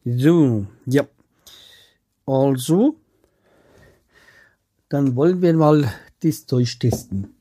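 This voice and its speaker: Rambling man with a husky, dark voice. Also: medium pitch, expressive, drawn-out words, long pauses, no room sound, studio quality. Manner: rambling, trails off and restarts, many fillers. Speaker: vlogger. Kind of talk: casual chat to camera. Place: home.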